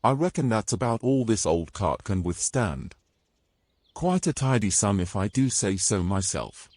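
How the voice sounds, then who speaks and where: Pompous, low-voiced knight acted by a man. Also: very animated, measured pace, a little mellow, no echo. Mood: pleased. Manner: pompous, formal old-fashioned phrasing. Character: domineering, pompous male knight, scornful and controlling. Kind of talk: fantasy audio drama acting.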